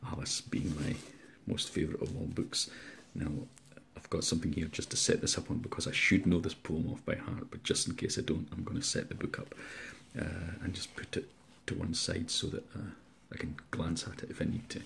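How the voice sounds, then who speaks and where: A man's voice: sits low, gravelly, a little hiss or hum. A man, an author speaking informally and reciting verse aloud. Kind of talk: informal introduction and dramatic poetry recital.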